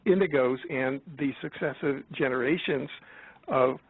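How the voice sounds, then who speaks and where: An American man of seventy talking in a rambling, halting way in an interview, often restarting and repeating his words. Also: medium pitch, breathy edge, dry recording. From United States, born in Florida. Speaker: guest, author and researcher. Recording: tinny phone-like line, dry.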